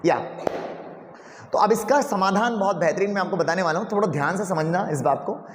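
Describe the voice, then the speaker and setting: Male teacher, high voice, rhetorical questions to students, roomy classroom echo. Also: fairly quick. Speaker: English teacher. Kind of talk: classroom lecture.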